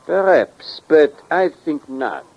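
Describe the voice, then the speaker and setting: An actor playing a sly French thief with a French accent, speaking formal, deliberate broken English with polite menace in a 1940s radio crime drama. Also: male voice, a little high, very animated, lo-fi sound, heavily acted. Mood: quietly threatening. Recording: vintage 1940s radio recording.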